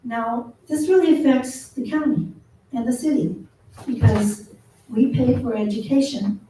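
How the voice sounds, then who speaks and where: A woman with a small, soft voice speaks earnestly at a council meeting, pausing on ums. Her tone is very dark. She is heard from a distance in a roomy, echoing chamber with faint noise. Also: on the high side. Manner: earnest, measured, with frequent ums. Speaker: public commenter. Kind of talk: public comment at a council meeting.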